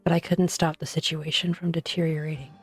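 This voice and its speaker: A woman playing a weary, guilt-ridden researcher in an English fan dub, speaking in a grave, measured, confessional manner. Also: low voice, level tone, slightly rough, soft background music.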